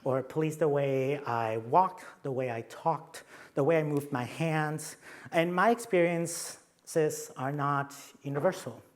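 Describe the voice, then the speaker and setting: A man's voice: a little high, takes it slowly. A young adult man from Mexico with a Mexican accent, giving a conference talk with slides and playful, self-deprecating asides. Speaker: conference presenter.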